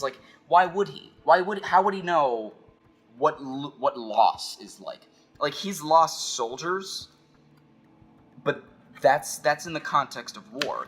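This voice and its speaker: A man giving casual, rambling philosophical commentary, halting and repeating phrases as he thinks aloud. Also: mid-range voice, fairly bright tone. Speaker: video essayist.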